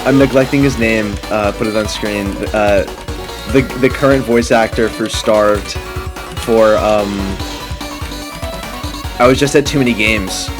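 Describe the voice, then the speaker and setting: A man's voice: on the low side, a little slow, long pauses, music in the background. Rambling young man joking through game commentary. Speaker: gaming video host. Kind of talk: casual game commentary with friends.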